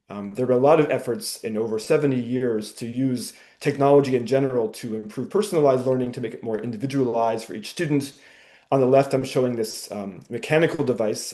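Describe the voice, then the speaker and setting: Male professor, mellow tone, measured explainer, webinar call. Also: medium pitch. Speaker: university professor giving a webinar talk. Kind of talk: presentation over a webinar. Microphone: video call.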